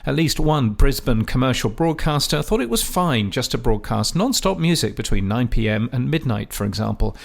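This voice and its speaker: A man with a gravelly edge to his mid-pitched voice delivers scripted podcast commentary. His delivery is measured and dry. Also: very animated. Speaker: podcast host. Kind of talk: scripted radio-industry commentary.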